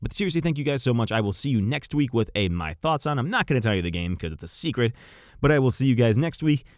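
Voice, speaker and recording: A man's voice: mid-range voice, big pitch swings, quick pace, no room sound, clean studio sound. An American man hosting a game review channel, chatting casually and unscripted to viewers with gushing enthusiasm.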